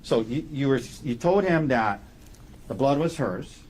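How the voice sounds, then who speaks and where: A man speaking as an attorney in courtroom questioning, putting halting, leading questions and checking each with a short tag. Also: mid-range voice, lively intonation, darkish tone, slight background noise. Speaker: defense attorney questioning a witness.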